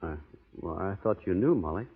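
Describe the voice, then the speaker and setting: An actor playing a plain-spoken, upright young cowboy with a folksy western drawl in an old radio drama. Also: male voice, low-pitched, expressive, normal speed, breathy edge, full character voice. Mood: grave, restrained. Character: laconic, upright young cowboy who led a posse. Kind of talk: 1930s radio drama acting. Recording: tinny, echoey old radio broadcast recording.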